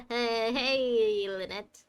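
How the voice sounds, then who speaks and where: A woman's voice: a little high, very slow, music in the background. A woman game streamer giving casual, reactive playthrough commentary with a wry way of talking.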